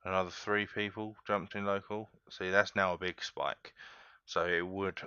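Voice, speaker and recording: A deep-voiced man talks viewers through a game step by step, relaxed and chatty. His voice is bright and smooth, recorded dry on a slightly dull home mic. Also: moderate pace, no room sound. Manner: relaxed, chatty, keeps addressing viewers as guys. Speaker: gaming tutorial host. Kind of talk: casual step-by-step game tutorial.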